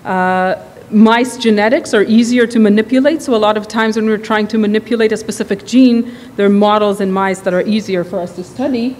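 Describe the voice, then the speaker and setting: A woman's voice: medium pitch, fairly even intonation, darkish tone. A middle-aged woman with an Israeli accent, a neuroscience professor giving a conversational academic lecture at a podium mic. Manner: quick, conversational, with restarts. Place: large hall with reverb. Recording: reverberant hall, faint background noise.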